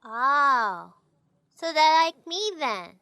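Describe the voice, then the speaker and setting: A woman's voice: high voice, big pitch swings, extremely slow, sharp tone, dry recording, exaggerated voice. A woman playing an innocent, curious little child in an audio drama, with a small, hesitant voice. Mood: timid, curious.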